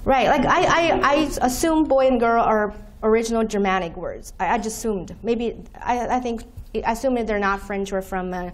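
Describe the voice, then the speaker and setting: Chinese-born woman lecturing, high voice. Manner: frequent "okay", explains terms slowly. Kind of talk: university lecture with slides. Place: lecture room, standing at podium by projector screen.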